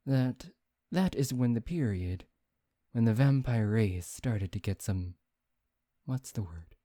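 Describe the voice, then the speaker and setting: A man playing a proud, dismissive vampire in an ASMR roleplay, speaking softly and haltingly as he searches for words. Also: no echo, studio quality. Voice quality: low, dark, soft voice. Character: proud, dismissive male vampire lecturing on his history.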